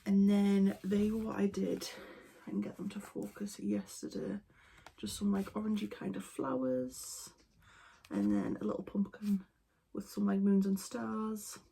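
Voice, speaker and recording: A woman chatting casually as an art vlogger at home, talking in a relaxed, rambling way into her camera. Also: medium pitch, measured pace, vocal fry, a little hiss or hum, low-quality recording. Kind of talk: casual vlog chat. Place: at home indoors. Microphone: camera mic.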